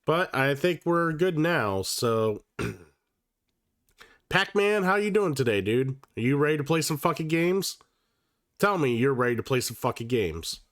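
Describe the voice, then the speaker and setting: A man's voice: a little high, expressive, measured pace, a bit bright, no room sound, studio quality. A man hosting a live stream of board games, chatting casually while sick and sounding slightly nasal, swearing freely. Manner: casual and profane, with many fillers and restarts. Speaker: livestream host. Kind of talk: casual livestream chat.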